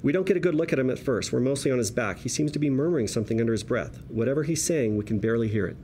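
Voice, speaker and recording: A man reading stage directions at a table read as narrator, in a wry, deliberate storytelling manner. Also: mid-range voice, expressive, warm tone, slight background noise.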